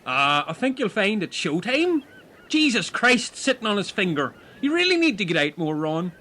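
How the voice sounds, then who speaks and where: Man putting on an excitable young castaway, very high, sharp and bouncy. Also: normal speed, full character voice. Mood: cheeky. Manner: eager, interrupting.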